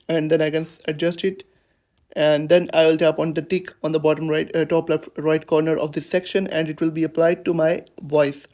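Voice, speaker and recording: A man with a somewhat high-pitched voice hosts a how-to tutorial. He narrates each step casually, in an unscripted and slightly hesitant way. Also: a little mellow. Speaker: tutorial host.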